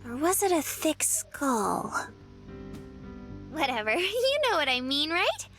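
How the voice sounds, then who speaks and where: Give a sweet, chirpy young woman from a visual novel. Her pitch is extremely high and swings up and down in a lively, cutesy way. Music plays behind her earnest lines. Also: slow pace, full character voice. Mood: teasing.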